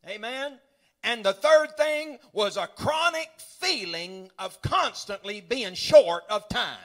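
High-voiced preacher man. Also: lively intonation, slow. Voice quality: folksy, rambling, repeats words. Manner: building to shouts, frequent hallelujahs. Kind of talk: sermon.

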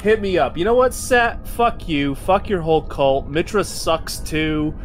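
A man's voice: on the high side, expressive, a little slow. A man chatting casually as a tabletop game player, brash, slangy and profane. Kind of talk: casual chat.

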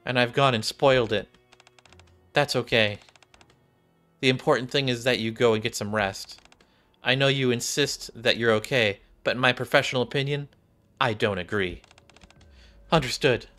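A man's voice: medium pitch, normal speed, long pauses, sharp tone. Young man reading game dialogue over music. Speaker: game streamer reading visual novel dialogue aloud. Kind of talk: read-aloud of game dialogue. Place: small indoor room.

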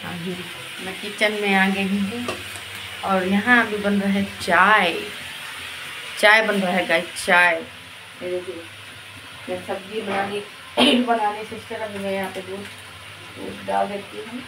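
A hoarse young woman chats to her viewers while she walks around the house holding her phone at arm's length. Clear background noise runs under her relaxed, chatty voice. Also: mid-range voice, slow, long pauses. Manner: chatty, walking and filming herself while doing chores. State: hoarse sore throat. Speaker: vlogger. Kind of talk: casual vlog chat. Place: home kitchen and open rooftop. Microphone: phone held at arm's length.

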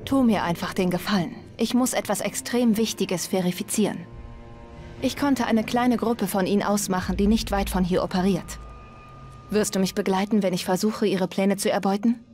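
A woman voicing an earnest warrior in a video game, with a formal, deliberate way of speaking. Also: mid-range voice, a little mellow, faint music behind, close to an everyday voice. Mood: determined. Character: earnest, formal warrior woman, logical but newly emotional. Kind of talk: video game dialogue acting.

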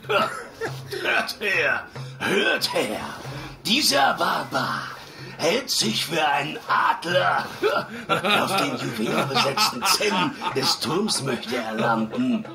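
An actor playing a loud, foul-mouthed, mocking thief in a fantasy audio drama, drawing out his taunts theatrically. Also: on the high side, big pitch swings, slow, gravelly, over music, heavily acted. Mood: gleeful mockery.

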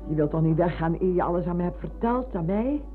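A woman's voice: fairly low pitch, animated, fast, close to an everyday voice. Band-limited elderly schoolmistress, played by a woman. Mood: fussy, insistent. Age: older. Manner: hesitant, repeating words, exclaiming. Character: elderly former schoolmistress, motherly, fussy, insistent. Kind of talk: radio drama acting. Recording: muffled phone-like old radio recording, humming noise.

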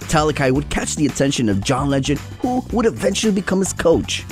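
A man's voice: fairly high pitch, quick pace, soft background music. A man hosting a TV show, narrating segments in an upbeat presenter style. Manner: upbeat, lively presenter delivery. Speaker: show host. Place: outdoors by the sea.

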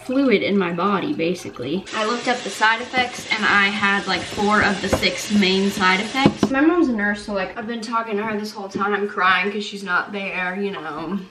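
A young American woman talks casually to a camera in her apartment. Her delivery is candid and rambling, full of slang. The room echoes around her voice, and clear background noise sits behind it. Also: mid-range voice, normal speed, few pauses. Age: 20s. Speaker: vlogger, nursing student. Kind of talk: casual chatty vlog. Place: small apartment kitchen and bedroom, indoors. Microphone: camera at arm's length or on counter.

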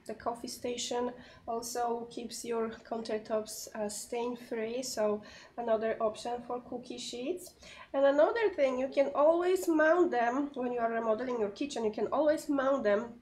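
Raspy-voiced woman hosting a live sale. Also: on the high side, slight background noise. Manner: chatty, friendly sales talk with fillers. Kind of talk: live shopping broadcast. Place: pottery shop with shelves of ceramics.

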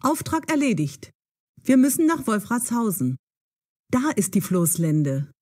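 An actress playing a bold, self-assured young woman in an audio drama, with a breathy voice. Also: a little high, very animated, unhurried, warm tone. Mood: brisk.

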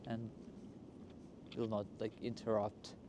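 A man hosting a walking tour in a casual, unscripted way, speaking in halting, broken phrases outdoors on a city street. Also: fairly low pitch, monotone. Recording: noisy outdoor street with traffic. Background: street ambience and passing vehicles.

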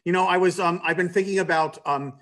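A man with a somewhat high voice presents information informally over a video call. He speaks haltingly, often pausing on ums and restarting his phrases as he explains things. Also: fairly even intonation, fairly bright tone. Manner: hesitant, frequent ums and trailing restarts. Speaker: theater staffer presenting subscription details. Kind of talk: informal online announcement.

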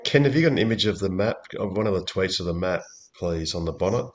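A man with a low-pitched voice speaks casually as a panel host. He explains things in a practical, technical manner, as part of an informal group chat. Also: lively intonation, fast, muffled recording.

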